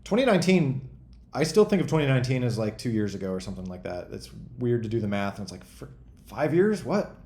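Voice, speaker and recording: A man in his forties chatting casually as a host, rambling and thinking out loud in a self-deprecating way. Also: medium pitch, big pitch swings, fast, echoey room. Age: 40s. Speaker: host and game developer answering chat questions. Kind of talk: casual freeform chat.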